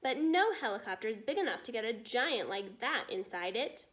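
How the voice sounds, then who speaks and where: A proud storybook air marshal acted out by a woman reader, grudging and quick to take offence. The delivery is high and swings sharply in pitch. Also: expressive, fairly bright tone. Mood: indignant, proud. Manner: huffy and impatient. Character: proud, grudging, easily offended male air force commander.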